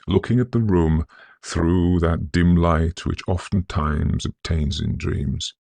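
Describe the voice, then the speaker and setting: A man narrating a literary ghost story in a measured, solemn, scholarly manner, with a gravelly, husky voice. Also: low voice, a little mellow, dry recording. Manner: measured, deliberate, solemn delivery.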